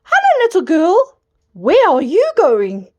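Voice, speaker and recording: A woman voicing a sly, hungry male wolf in a children's storybook reading, speaking in a smooth, coaxing way. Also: extremely high pitch, wildly swooping pitch, takes it slowly, darkish tone, pitched above the performer's own voice, full character voice.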